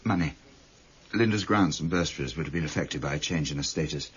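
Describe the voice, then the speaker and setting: An actor playing an anxious, evasive academic in a radio crime drama, polite, hesitant and stammering. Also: male voice, extremely low pitch, fairly quick, a bit bright, breathy edge, lo-fi sound, exaggerated voice. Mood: defensive, flustered.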